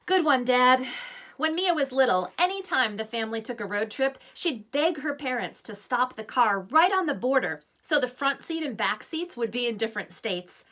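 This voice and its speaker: A woman reads a children's novel aloud as its author. Her voice sits fairly high and bright, and her expressive narration moves with very lively pitch. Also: normal speed, hardly any pauses.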